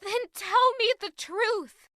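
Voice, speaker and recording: A woman's voice: very high voice, slow pace, bright, forceful tone, dry recording, exaggerated voice. An actress playing an anguished, furious young woman in an audio drama, impassioned, accusing and distraught. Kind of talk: emotional audio drama acting.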